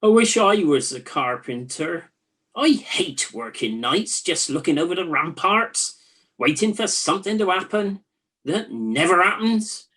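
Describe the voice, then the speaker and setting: A woman's voice: lowish voice, lively intonation, measured pace, fairly bright tone, breathy edge, heavily acted. A woman playing a chatty, gullible Trojan soldier in a comic radio play, musing in a wry, conversational way. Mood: bored, wry. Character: bored, gullible, chatty Trojan night-watch soldier in a spoof.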